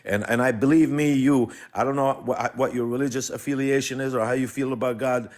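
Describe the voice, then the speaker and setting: A man's voice: mid-range voice, steady tone, fairly quick. Emphatic, impassioned man calling in. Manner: emphatic, repeats phrases for weight. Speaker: guest caller on a live stream. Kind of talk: impassioned political talk.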